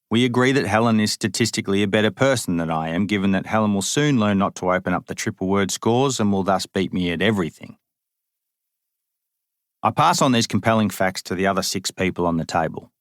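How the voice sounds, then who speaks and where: A man reading a first-person memoir aloud as an audiobook, in an even, measured way with dry humour. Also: lowish voice, no room sound. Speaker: audiobook reader.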